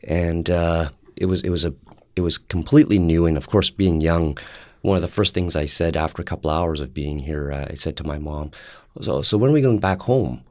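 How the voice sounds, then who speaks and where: A man in his fifties whose first language was Cantonese, speaking as an interview guest in a thoughtful, halting way. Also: low-pitched, darkish tone, dry recording, studio quality. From Canada. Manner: thoughtful, halting, with frequent fillers and restarts. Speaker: interview guest, public servant. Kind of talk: casual interview chat.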